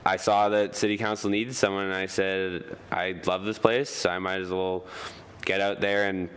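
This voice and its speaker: A man's voice: low voice, little pitch movement, normal speed, a bit bright, smooth. A young man, a biologist running for council, speaking earnestly and eagerly at a candidate forum.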